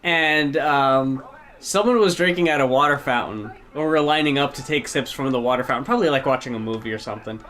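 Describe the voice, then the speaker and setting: A man's voice: mid-range voice, quick pace, a bit bright. A young man co-hosting a casual riff on old commercials, joking, swearing and telling rambling stories. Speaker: co-host riffing on old commercials. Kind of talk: casual group riffing.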